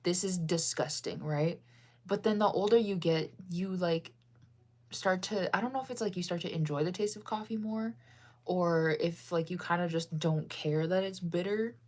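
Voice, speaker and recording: Chatty young American woman, low-pitched. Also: normal speed, a little mellow. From United States. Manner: rambling, chatty, lots of fillers and self-corrections. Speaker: livestreamer reading chat. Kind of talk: casual livestream chat.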